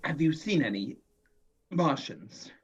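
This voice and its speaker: A man's voice: on the high side, expressive, breathy edge, full character voice. An actor playing a weary survivor who narrates his own journey and speaks hesitantly, at times unsure, in a radio drama, recorded on a dull lo-fi mic. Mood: uneasy. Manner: hesitant, uncertain in dialogue; measured in narration. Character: weary male survivor wandering a ruined land. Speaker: narrator and main character.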